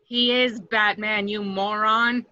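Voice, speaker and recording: A woman's voice: medium pitch, fairly even intonation, takes it slowly, sharp tone, lo-fi sound. A woman acting a vengeful, seductive catlike antiheroine in a superhero table read, with dramatic taunts. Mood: defiant. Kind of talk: amateur table read of a superhero film script.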